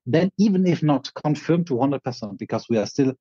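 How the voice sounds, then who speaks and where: Quick-talking French man, husky and dull. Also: mid-range voice, expressive, warm tone, no room sound. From France. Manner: quick, with frequent restarts and fillers. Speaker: co-host. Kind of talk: casual podcast chat.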